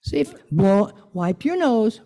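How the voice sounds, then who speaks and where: A woman's voice: medium pitch, sing-song, slow pace, mellow, a little hiss or hum. A woman giving an informal, playful and conversational talk to a group, coaxing them to join in. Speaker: guest speaker leading an interactive church talk.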